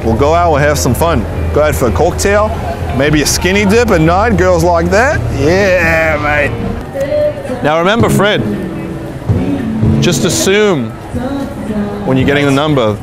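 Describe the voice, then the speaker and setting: A man's voice: on the high side, lively intonation, measured pace, long pauses, loud music behind the voice. A man playing a brash Aussie dating coach. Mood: bossy. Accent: Australian. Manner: brash, pushy, slangy. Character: brash drunk Australian male dating coach. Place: busy city street outdoors.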